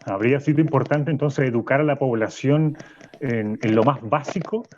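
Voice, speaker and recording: A man's voice: medium pitch, normal speed, darkish tone, muffled recording, close to an everyday voice. A man playing an indignant, didactic philosophy professor in a radio play, insistent, repeating his phrases and interrupting. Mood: insistent, challenging. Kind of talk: Spanish-language radio play as live debate.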